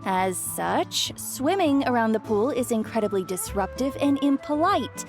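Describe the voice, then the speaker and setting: An actress playing a caring but strict aunt in a video game, instructive and gently scolding in manner. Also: female voice, fairly high pitch, lively intonation, a little slow. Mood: lecturing. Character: caring but strict, fussy aunt, hot spring expert. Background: game music.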